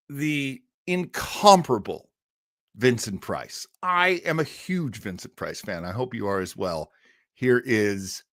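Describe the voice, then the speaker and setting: Man, show host, casual chat, enthusiastic, mid pitch, dry close recording. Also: fairly bright tone, no echo. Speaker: host of a live horror radio show stream. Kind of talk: casual chat introducing an old radio story.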